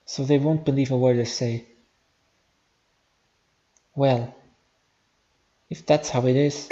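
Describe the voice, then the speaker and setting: Low, muted young creature thinking aloud acted. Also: fairly even intonation, takes it slowly, warm tone, dull audio, a little hiss or hum, lightly acted. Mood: pensive. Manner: muted, pondering.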